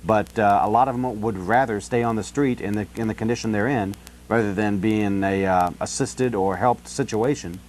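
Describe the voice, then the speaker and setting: Halting American man talking on the phone. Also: fairly low pitch, darkish tone, a little hiss or hum. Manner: halting, rambling, frequent "you know". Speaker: city council candidate on a phone call. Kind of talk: casual phone conversation. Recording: telephone call.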